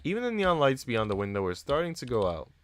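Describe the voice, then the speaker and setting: A man's voice: mid-range voice, sing-song, quick pace. A man on a live stream, reading visual novel text aloud and chatting casually with viewers. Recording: noisy mic with typing sounds.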